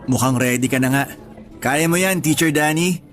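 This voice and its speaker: A man's voice: fairly high pitch, normal speed, lightly acted. A man acting as a friendly young schoolteacher in a radio drama, speaking in an easygoing, reassuring, lightly teasing way.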